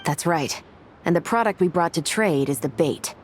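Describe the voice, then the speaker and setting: A woman's voice: fairly low pitch, animated, moderate pace, slight background noise, natural voice. An actress playing a serious, cautious woman police investigator in a video game, speaking formally and in a businesslike way. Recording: music behind the voice.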